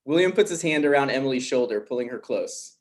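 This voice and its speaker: A man hosting a table read and narrating stage directions in an informal, somewhat halting way. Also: mid-range voice, expressive.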